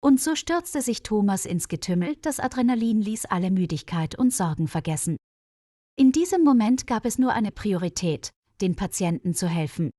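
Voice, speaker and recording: A woman reading a scripted story as narrator, in a measured, clearly enunciated way. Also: mid-range voice, expressive, mellow, no echo, studio quality. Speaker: audiobook narrator of a German learners' story.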